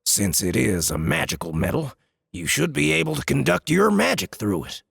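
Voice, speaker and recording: Gravelly, booming, boastful dwarf smith. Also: male voice, sing-song, normal speed, a bit bright, no room sound, clean studio sound, heavily acted. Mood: smug, proud. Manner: smug, bragging. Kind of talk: dramatized fantasy audiobook acting.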